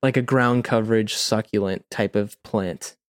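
A man's voice: lowish voice, fairly even intonation, moderate pace, dry recording. Casual man telling a rambling story, dry recording. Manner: informal, rambling, heavy use of "like" and "you know". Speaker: podcast co-host.

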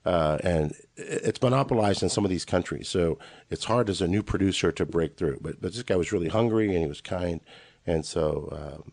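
Low-voiced middle-aged American man, dry sound. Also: moderate pace, vocal fry, a little mellow, no room sound. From Los Angeles, USA. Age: late 40s. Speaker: podcast guest. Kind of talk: casual conversational storytelling.

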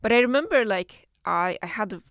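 A woman's voice: medium pitch, animated, brisk, fairly bright tone, dry recording, clean studio sound. A middle-aged woman from Syria, a native Arabic speaker, talking reflectively about her career as a children's book author in an interview, often restarting her sentences. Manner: reflective, frequent restarts and repetitions. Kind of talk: conversational interview.

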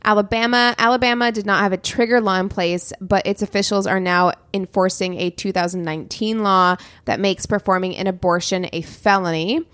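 A woman's voice: medium pitch, fairly even intonation, brisk, hardly any pauses, fairly bright tone, no echo. A woman in her thirties from Missouri, co-hosting a podcast in casual chat, reading out news and talking it through. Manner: chatty, self-interrupting, reads news items aloud. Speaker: podcast co-host.

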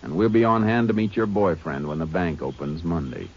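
A deep-voiced stern Texas Ranger. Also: male voice, brisk, darkish tone, husky. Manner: laconic, drawling, dry. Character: calm stern male Texas Ranger lawman. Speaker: narrator and lead. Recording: dull old radio broadcast with faint hiss.

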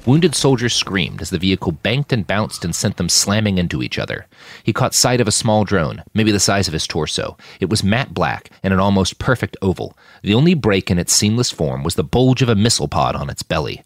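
A man with a low voice narrates fiction with drama and very animated intonation. The delivery is expressive and scripted, recorded dry and close. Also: no echo. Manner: expressive, shouts out characters' lines. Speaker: audiobook narrator reading own fiction podcast.

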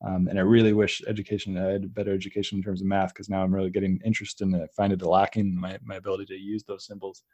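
A young man with a somewhat low voice hosts a relaxed podcast conversation. He drifts from thought to thought, restarting sentences and circling back as ideas come to him. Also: very fast, hardly any pauses, dull audio. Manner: rambling, many restarts and tangents. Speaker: podcast host. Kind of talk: casual conversational interview.

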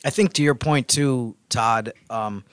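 A man's voice: medium pitch, measured pace, fairly bright tone, clean tone, no room sound. Dry-sounding male sports podcast panelist. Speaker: team reporter and co-host. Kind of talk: statistical sports discussion. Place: podcast studio.

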